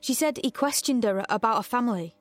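A woman's voice: fairly high pitch, animated, fairly quick, dry recording, soft background music, close to an everyday voice. An actress playing a wary, defiant young woman in a dystopian audio drama, in both dialogue and intimate narration. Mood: tense, shaken. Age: young adult.

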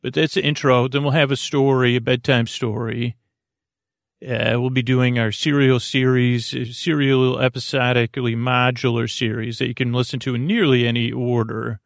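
A man with a creaky voice hosting a bedtime podcast, talking in a soothing, meandering, halting way and often breaking off mid-thought. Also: medium pitch, flat delivery, dry recording, studio quality. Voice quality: creaky, gravelly. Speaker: podcast host. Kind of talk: rambling bedtime podcast monologue.

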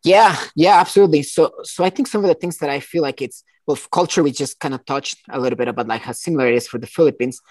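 Relaxed man in his forties. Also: on the high side, quick pace, hardly any pauses, no room sound. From Costa Rica. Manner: relaxed, rambling, many fillers and restarts. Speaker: entrepreneur guest. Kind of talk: casual interview.

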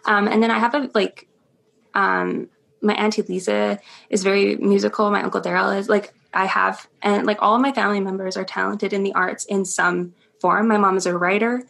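A woman's voice: mid-range voice, flat delivery, moderate pace, creaky, fairly bright tone. A young adult woman chatting as an interview guest, talking freely with frequent small laughs and filler words. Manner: chatty, laughs often, many ums. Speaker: interview guest, stage performer. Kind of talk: casual interview chat.

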